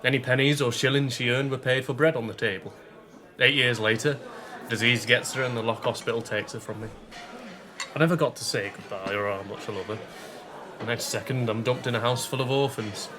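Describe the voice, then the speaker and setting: A man's voice: medium pitch, fairly even intonation, a little fast, close to an everyday voice. Guy doing a working class english victorian lad for radio drama, cheeky, slangy, friendly type, mid voice, busy crowd noise. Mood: sorrowful. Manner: informal, slangy, teasing. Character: cheeky, kindly working-class Victorian young man, a grocer's assistant. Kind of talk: period radio drama acting.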